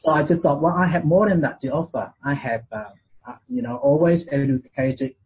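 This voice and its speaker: Vietnamese-accented man, hesitant and husky. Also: fairly high pitch, moderate pace, dull audio. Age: 40s. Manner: hesitant, lots of fillers and restarts. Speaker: guest. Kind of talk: casual interview.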